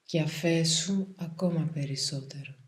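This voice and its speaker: Woman, slightly low voice, guided meditation, calm, soothing, measured pauses. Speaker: yoga nidra meditation guide.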